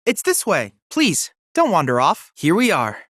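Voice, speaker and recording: A teenage cyborg researcher from a video game, courteous and chipper. His pitch moves with lively swings while his wording stays formal and exact. The recording is close and dry, with no room sound. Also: measured pace, no echo, exaggerated voice. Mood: eager, polite. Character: polite, eager teenage cyborg research boy. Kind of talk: scripted video game character dialogue.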